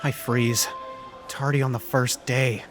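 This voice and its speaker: A man's voice: mid-range voice, flat delivery, takes it slowly, natural voice. A self-conscious teenage boy played by a man narrates his school story in a mid-range voice over prominent music. He is introspective and wry, a little uneasy, telling it all in the first person like a visual novel hero. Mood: wry, reflective.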